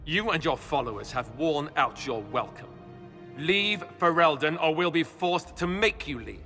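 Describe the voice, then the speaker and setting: A man's voice: on the high side, animated, normal speed, pushed and bright. An actor playing a stern, indignant young king in a fantasy video game. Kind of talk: video game cutscene acting. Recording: echoing hall, music behind.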